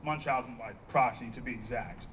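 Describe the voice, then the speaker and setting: A man's voice: medium pitch, a little fast, a bit bright, lightly acted. A man in a staged play reading, playing a dry, exasperated therapist dealing with an old friend. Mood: dry, weary. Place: outdoor stage. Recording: distant outdoor stage pickup, background noise.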